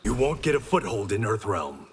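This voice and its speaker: A man's voice: on the low side, big pitch swings, moderate pace. An actor playing a resolute, wry blind swordsman in a fighting game, speaking with dry confidence and determination. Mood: wry, confident. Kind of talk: fighting game character banter. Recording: long reverb, faint background noise.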